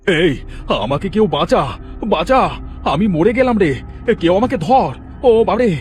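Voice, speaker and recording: A man's voice: high-pitched, expressive, normal speed, a little mellow, slightly rough, faint music behind. A man voicing a greedy, spiteful, bossy village headman in a children's cartoon story. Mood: panicked.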